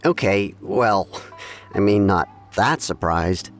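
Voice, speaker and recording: A man's voice: fairly low pitch, expressive, takes it slowly, loud music behind the voice. A man playing an easygoing townsman in a video game, sharing gossip in a conversational, hedging manner. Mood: thoughtful. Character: easygoing, watchful townsman passing on gossip, measured.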